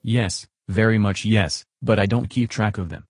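An earnest chatbot reenacted by a man speaks in a lowish, husky voice. It gives short, philosophical, matter-of-fact answers as a podcast guest, in a dry recording. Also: moderate pace, a little mellow, dry recording. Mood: earnest, measured. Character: earnest, philosophical male chatbot being interviewed.

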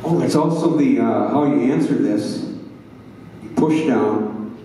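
A man's voice: medium pitch, unhurried, dark tone. An older man doing rambling, folksy stand-up on a small stage, often checking in with his audience. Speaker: stand-up comedian at an open mic. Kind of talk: stand-up comedy. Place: small bar stage, indoors. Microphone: handheld mic through speakers, recorded by a far camera. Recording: echoey room, distant, noisy.

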